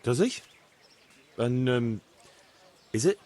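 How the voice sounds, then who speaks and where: An actor playing a measured, inquiring medieval man of authority in a video game. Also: mid-range voice, expressive, dark tone, a little hiss or hum. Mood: probing. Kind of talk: video game cutscene acting.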